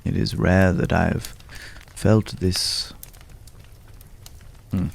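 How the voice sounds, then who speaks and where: A man voicing a courtly, world-weary old companion in an intimate ASMR roleplay, speaking in formal, archaic phrases with long pauses and a gravelly low voice. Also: slight background noise, full character voice.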